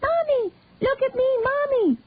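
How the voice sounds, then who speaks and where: A woman voicing an excited four-year-old boy with a high, squealing voice. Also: a little slow, dry recording. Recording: tinny phone-like dry sound.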